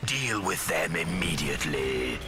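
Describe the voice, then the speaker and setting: Imperious cartoon villain, extremely deep, slow, pompous, menacing, loud music behind. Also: male voice, expressive. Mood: cold command. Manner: pompous, commanding, formal. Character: imperious alien warlord villain, menacing and haughty.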